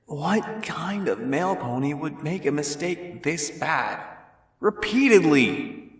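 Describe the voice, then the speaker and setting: Man acting a slow-talking young pony big brother. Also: a little high, lively intonation, roomy sound, pitched above the performer's own voice. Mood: wondering.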